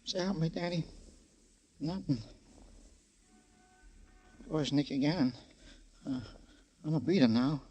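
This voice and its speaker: An actor playing a nervous, pleading small-time ex-informer who stammers and repeats himself in an old radio crime drama. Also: male voice, a little high, unhurried, pauses often, warm tone, slight background noise, close to an everyday voice. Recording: old radio broadcast with music.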